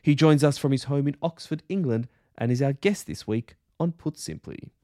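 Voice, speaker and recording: A man hosting a podcast, delivering introductions and questions in a deliberate, articulate way. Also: mid-range voice, warm tone, no room sound, studio quality, soft background music. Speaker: podcast host. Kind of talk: scripted introductions and interview questions.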